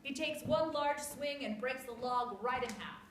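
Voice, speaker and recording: A woman's voice: high voice, a little slow. A woman narrator giving a scripted reading of stage directions. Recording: echoey room, distant mic, background noise.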